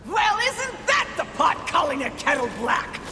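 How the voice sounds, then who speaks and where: A woman's voice: extremely high pitch, sing-song, a little slow, very noisy, heavily acted. An actress playing a gloating, spiteful, power-hungry female demon in a video game, with a taunting theatrical delivery. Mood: gloating, defiant. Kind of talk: theatrical villain game acting. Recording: echoey hall under loud music.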